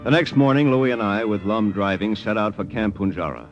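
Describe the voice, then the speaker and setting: Low-voiced American lieutenant played by a man. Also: a little fast, natural voice. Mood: tense, narrating. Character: uneasy, plain-spoken American army lieutenant on secret mission. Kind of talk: 1940s-50s radio drama acting and narration. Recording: muffled old radio recording with music.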